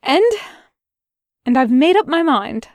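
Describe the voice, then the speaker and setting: A woman's voice: high voice, expressive, slow pace, dry recording, pitched above the performer's own voice, full character voice. A woman reading a fan audiobook, voicing a fussy, prim male angel who stumbles over his words. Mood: hesitant.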